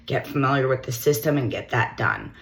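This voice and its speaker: A middle-aged woman recruiter with a slightly hoarse voice, giving direct, matter-of-fact job-search advice straight to the camera. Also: extremely low pitch, wildly swooping pitch, fairly quick, a bit bright.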